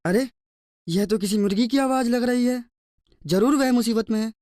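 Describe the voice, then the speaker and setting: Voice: mid-range voice, normal speed, a little mellow, no echo, studio quality, natural voice. A performer voicing a poor, compassionate and stubborn hardworking husband in a cartoon. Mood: indignant. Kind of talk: cartoon dialogue.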